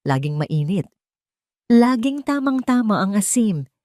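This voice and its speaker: A woman narrating a scripted audio drama in a steady, measured storytelling manner. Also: medium pitch, no room sound, clean studio sound.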